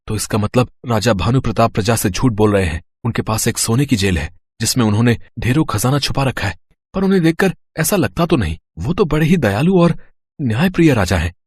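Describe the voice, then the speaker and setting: Man acting a pleading, husky poor young man. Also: medium pitch, animated, a little fast, no room sound. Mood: puzzled wonder. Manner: earnest, pleading, talks aloud to himself. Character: poor, honest, simple young man wrongly jailed, distressed and pleading. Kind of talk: Hindi moral-story cartoon voice acting.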